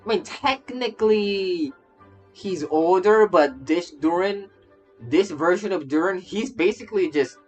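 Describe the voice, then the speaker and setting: A man's voice: high voice, lively intonation, measured pace. A man casually commenting on a game he is streaming, stammering and repeating his phrases as he rambles. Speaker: streamer reacting to a game story. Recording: game music behind the voice. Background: game music.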